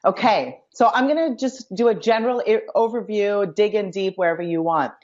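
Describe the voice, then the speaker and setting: A woman's voice: mid-range voice, fairly quick, a little mellow. A woman from India, a life coach appearing as a guest, telling her story in a casual, enthusiastic way. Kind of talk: casual interview chat. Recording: dull lo-fi sound.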